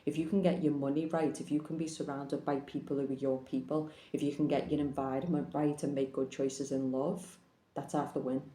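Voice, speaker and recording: Frank, plain-spoken female tarot reader, mellow tone, roomy recording. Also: low-pitched, level tone, fairly quick. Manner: blunt, frank, swears casually. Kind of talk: casual tarot reading to camera.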